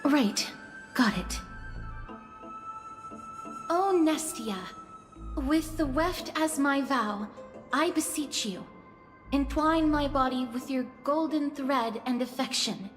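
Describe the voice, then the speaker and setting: An actress playing a gentle young goddess of death in an anime game, earnest, reserved and politely formal. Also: female voice, high voice, slow, pauses often, long reverb tail, faint music behind. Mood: solemn.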